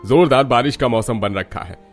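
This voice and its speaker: A man's voice: medium pitch, moderate pace, soft background music, lightly acted. Man acting an impatient, blustery cartoon family man. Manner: scolding, blustery. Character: grumbling impatient family man hurrying everyone along. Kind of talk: Hindi cartoon story dialogue.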